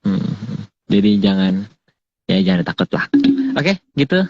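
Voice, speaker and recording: A man's voice: deep voice, very animated, measured pace, no room sound. A man hosting a casual podcast chat, agreeing readily and moving the talk along. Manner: agreeable, backchannels and moves things along. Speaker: host. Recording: dull lo-fi dry audio.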